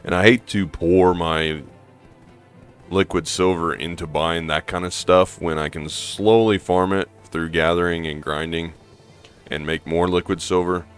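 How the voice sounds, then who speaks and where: Hoarse man, very low voice, casual rambling gameplay talk over soft music. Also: steady tone, unhurried. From American Midwest. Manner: rambling, thinking aloud with fillers. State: hoarse from allergies. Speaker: gaming streamer.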